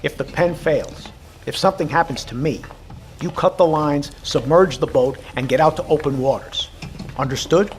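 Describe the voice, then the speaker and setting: A man's voice: on the high side, normal speed, close to an everyday voice. An American man playing a commanding, wary Navy submarine captain in an audio drama, with clipped, authoritative military delivery. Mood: firm, commanding. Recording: clear ambient background noise.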